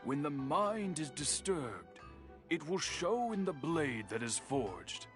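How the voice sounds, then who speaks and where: A man voicing a dignified, stern old master swordsmith in an anime-style video game, formal, measured and gently admonishing. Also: a little high, very animated. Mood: gently scolding. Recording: music behind the voice.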